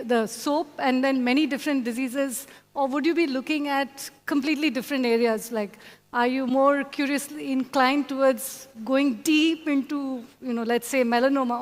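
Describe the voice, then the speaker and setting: A woman's voice: sits high, steady tone, moderate pace, long reverb tail. A woman hosting a conference panel interview, hesitant with many fillers. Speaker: host. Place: conference hall.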